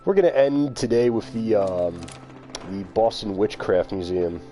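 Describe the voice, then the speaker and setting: A man's voice: fairly low pitch, expressive, unhurried, warm tone, faint music behind. A man streaming a video game, chatting casually with his viewers and calling them by name. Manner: chatty, talks to chat viewers by name, laughs often. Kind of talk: casual live-stream commentary.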